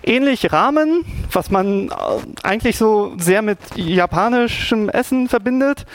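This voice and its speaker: A man's voice: high voice, a little hiss or hum. A young man casually explaining Japanese writing, rambling with lots of fillers and restarts. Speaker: presenter explaining Japanese scripts.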